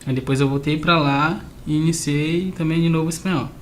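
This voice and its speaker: Higher-voiced man, noisy recording. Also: fairly even intonation, fairly quick. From grew up between southern Brazil and Argentina. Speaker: interview guest. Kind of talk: casual personal storytelling in a conversational interview. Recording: clear background noise.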